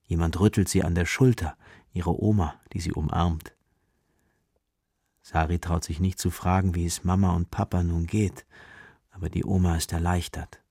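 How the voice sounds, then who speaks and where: Guy narrating kids radio story, low husky voice, close dry sound, bit of music. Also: steady tone, normal speed, mellow, no room sound. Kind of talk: children's radio play storytelling.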